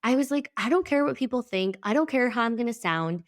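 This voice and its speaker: A woman's voice: on the high side, lively intonation, a little fast. Chatty, emphatic woman podcaster. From El Salvador. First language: Spanish. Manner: chatty and emphatic, with frequent "like" and casual swearing. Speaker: podcast host. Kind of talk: casual reflective monologue.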